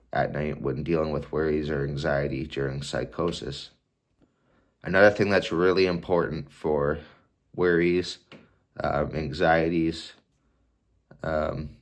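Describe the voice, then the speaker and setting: A young man with an extremely deep voice speaks in an unhurried, calm way. He sounds stuffed up from a cold and gives casual advice, with small fillers and restarts. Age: 20s. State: congested from a cold. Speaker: vlogger sharing mental health tips. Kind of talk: casual advice talk to camera.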